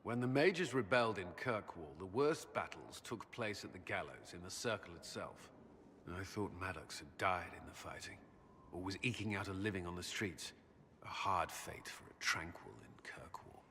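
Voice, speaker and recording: An actor playing a grave, duty-bound military commander in a video game, earnest, measured and formal. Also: male voice, low-pitched, expressive, bright, forceful tone, full character voice. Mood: somber. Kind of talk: dramatic video game cutscene acting. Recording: hall reverb with background noise.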